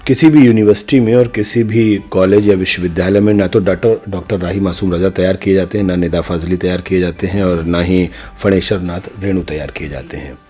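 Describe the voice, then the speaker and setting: A man's voice: low voice, fairly even intonation, a little fast, few pauses, dark tone, slightly rough, soft background music. A middle-aged man giving reflective, opinionated answers as a podcast guest, speaking emphatically in rhetorical, repeated phrases. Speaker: interview guest, senior news journalist.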